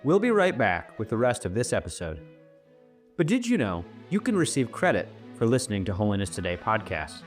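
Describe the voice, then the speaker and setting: A man's voice: fairly low pitch, wildly swooping pitch, brisk. A man reading a scripted promotional announcement for a podcast. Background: guitar music.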